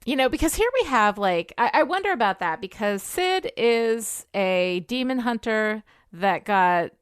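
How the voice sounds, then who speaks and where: Female podcast co-host, clear smooth voice, conversational and analytical, tag questions, recorded dry and close. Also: on the high side, expressive, measured pace, no echo. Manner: conversational, frequent "you know" and "right?" tags. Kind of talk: casual analytical TV discussion.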